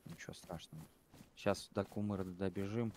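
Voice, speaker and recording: Very low-voiced man, casual gamer chat, crude and rambling, light game noise. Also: fairly even intonation, moderate pace, a little mellow. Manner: blunt, swears freely, rambling.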